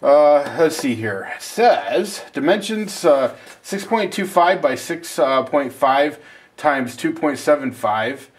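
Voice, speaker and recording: A man's voice: a little high, animated, takes it slowly. A man giving a casual, rambling, self-deprecating unboxing and review as the host of a video.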